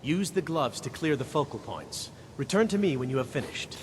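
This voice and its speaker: Guy doing grave formal wizard man from a fantasy game, big hall reverb, rumbly noise behind him. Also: sing-song, moderate pace, close to an everyday voice. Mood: formal, instructive. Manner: formal, theatrical. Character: grave, exacting male wizard mourning lost knowledge. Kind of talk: fantasy video game voice acting.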